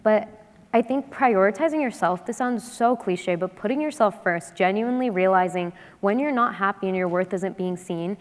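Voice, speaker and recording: A woman on a panel speaking from her engineering work, firm and encouraging, in long flowing sentences. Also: mid-range voice. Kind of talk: panel discussion on stage. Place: large hall stage. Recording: long reverb from a large hall.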